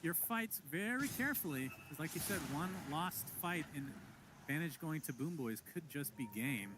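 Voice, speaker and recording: A man calls a live esports match in quick, excited bursts and laughs between plays. His voice sits a little on the high side. Steady game noise runs underneath the broadcast. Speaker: play-by-play esports caster.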